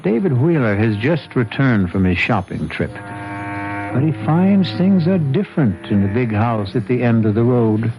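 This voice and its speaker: A man's voice: medium pitch, very animated, unhurried, low-quality recording. A man hosting and narrating a radio mystery in dramatic 1970s style. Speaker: host and narrator. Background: music.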